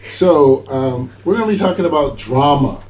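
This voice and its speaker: A man's voice: a little high, expressive, normal speed, darkish tone, a little hiss or hum. A middle-aged man hosting a conversational interview, encouraging and echoing back what his guests say. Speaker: talk show host, school superintendent.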